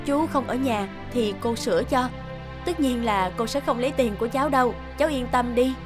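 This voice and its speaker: A woman playing a sharp-tongued but caring young mother who minds a repair shop, in an expressive animated cartoon dub. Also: on the high side, a little fast, close to an everyday voice. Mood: apologetic. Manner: expressive, scolding or fussing. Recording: music behind the voice.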